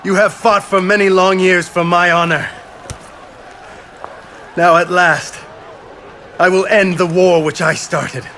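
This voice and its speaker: Young trojan prince, higher voice, earnest n formal, game cutscene, bit of background noise. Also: male voice, steady tone, slow pace, long pauses, bright, forceful tone, exaggerated voice. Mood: resolute. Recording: faint ambient noise behind voice.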